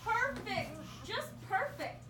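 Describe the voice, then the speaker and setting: Woman playing a Christmas angel, high voice, storytelling, distant, echoey, hissy. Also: big pitch swings, slow pace. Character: kind female Christmas angel. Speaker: puppeteer voicing a Christmas angel host. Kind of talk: amateur puppet show. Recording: echoey room from far off, noisy.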